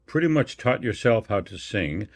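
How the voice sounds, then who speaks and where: A man hosting a radio interview, asking questions in a measured way with occasional fillers. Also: lowish voice, sing-song, vocal fry. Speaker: radio host.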